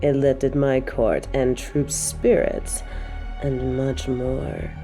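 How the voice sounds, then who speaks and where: Woman playing a slow-talking, haughty evil queen. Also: extremely low pitch, dark tone, faint music behind, exaggerated voice. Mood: gloating, menacing. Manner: slow, taunting, imperious, with breathy drops to a whisper. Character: evil, possessive, haughty adult queen and dictator. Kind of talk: scripted audio roleplay drama.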